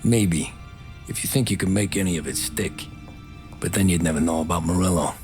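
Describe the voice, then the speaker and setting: A man's voice: low-pitched, a little fast, darkish tone, faint music behind, natural voice. An actor with an American accent playing a weary, wry mobster recounting his past matter-of-factly in a game. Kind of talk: cinematic game dialogue, storytelling.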